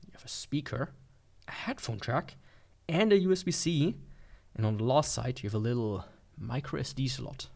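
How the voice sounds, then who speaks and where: A man's voice: medium pitch, gravelly, a little mellow. A man with a mid-range voice talks through a product unboxing in an informal way. His delivery is sarcastic, with playful overstatement as he comments like a gadget reviewer.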